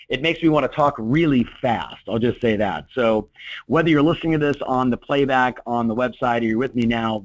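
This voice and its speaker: Man, middle-aged, motivational coach, energetic, many fillers, dry dull lo-fi audio. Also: medium pitch, no room sound. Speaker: real estate coach presenting a webinar. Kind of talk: webinar talk.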